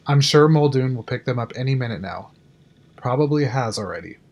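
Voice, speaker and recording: A man's voice: mid-range voice, expressive, a little fast, a little mellow. A man playing a harried park technician in an audio drama, urgent and matter-of-fact. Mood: urgent, reassuring. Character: harried male park technician reassuring his boss. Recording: music under the voice.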